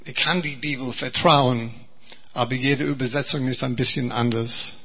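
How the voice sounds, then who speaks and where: An Englishman preaching in German, a second language learned alongside his native English, speaking slowly and earnestly with many repetitions and restarts. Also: mid-range voice, fairly even intonation, pushed and bright, slightly rough, highs cut off. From England. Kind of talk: sermon.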